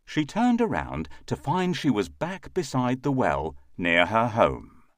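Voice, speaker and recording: A man's voice: mid-range voice, wildly swooping pitch, unhurried, dry recording. A man narrating a scripted fairy tale for children as a storyteller.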